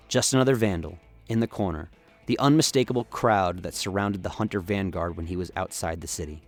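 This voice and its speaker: A man giving scripted, dramatic narration of a story as the narrator of a radio play. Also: lowish voice.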